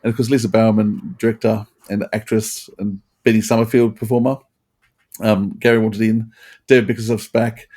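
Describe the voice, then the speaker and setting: A man hosting a casual review chat, rambling and halting, often repeating his phrases. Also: on the low side, level tone, a little mellow, slightly rough. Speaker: podcast host.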